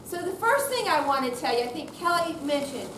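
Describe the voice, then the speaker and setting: A woman's voice: high-pitched, sing-song, brisk. A woman giving an informal, chatty thank-you speech to an audience as a program organizer. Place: room at a senior center. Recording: roomy, distant mic, noisy.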